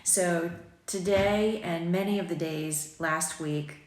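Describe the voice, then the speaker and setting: A woman in her forties with a low voice and a slight morning rasp, chatting casually with viewers and rambling with plenty of ums. She talks into a phone held at arm's length in a sunny kitchen, and the room gives her voice a noticeable echo. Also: takes it slowly. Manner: chatty, rambling, self-deprecating, with frequent ums. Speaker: boutique owner vlogging. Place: sunny home kitchen, indoors. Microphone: phone camera at arm's length.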